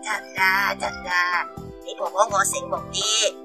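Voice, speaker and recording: A sharp old grandmother played by an actress in a Cantonese radio play. She chats at a middling pitch with a Hong Kong accent, music behind her. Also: little pitch movement, a little slow, lightly acted. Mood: assured. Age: older. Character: sharp, chatty elderly grandmother. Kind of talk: radio drama acting.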